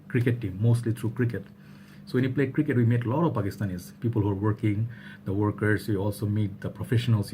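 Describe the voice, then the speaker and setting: Young man from Bangladesh, vlogger, rambling casual delivery, lowish voice. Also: mellow, slight background noise. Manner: rambling, many fillers and restarts. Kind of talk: casual talk to camera.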